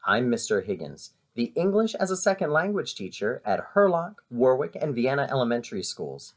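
A middle-aged man, a teacher, reading a children's storybook aloud with dramatic emphasis and drawn-out repeated words. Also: medium pitch, very animated. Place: indoors in a living room at home.

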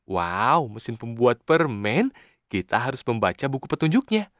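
A man's voice: medium pitch, very animated, normal speed, fairly bright tone, dry recording, studio quality. A man voicing a sensible, friendly and cautious companion in a children's cartoon dub, speaking expressively.

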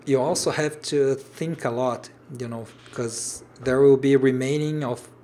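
A man's voice: mid-range voice, darkish tone, slight background noise. A man in his thirties with a Brazilian Portuguese accent, Portuguese his native language, casually chatting on a home livestream and stopping often to search for English words. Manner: hesitant, restarts phrases while searching for English words. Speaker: origami designer hosting a livestream. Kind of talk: casual livestream chat reading viewer comments. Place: home room with shelves, indoors.

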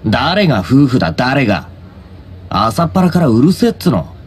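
A man voicing a blunt, surly, easily irritated high-school boy in an anime-style game, grumbling in rough speech. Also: very animated, moderate pace, slight background noise, lightly acted. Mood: annoyed.

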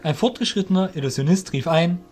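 A man's voice: high-pitched, a little fast, a little mellow, breathy edge, faint music behind. Male narrator of a fantasy tale. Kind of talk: scripted audiobook-style narration of a fantasy audio drama.

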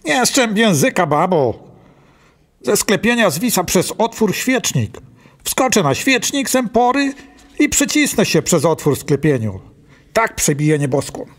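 A man's voice: sits high, big pitch swings, measured pace, exaggerated voice. A man playing a stubborn, boastful blacksmith and treasure hunter in a radio play, emphatic and self-assured. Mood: scornful, determined.

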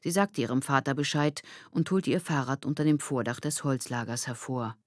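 A woman's voice: deep voice, no echo, clean studio sound. A woman reading a children's novel aloud as scripted audiobook narration.

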